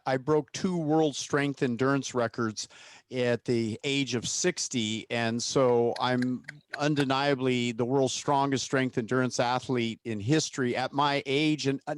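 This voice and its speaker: A man's voice: medium pitch, no echo. A male panel guest talking confidently about his own records. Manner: confident, self-promoting. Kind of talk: panel discussion.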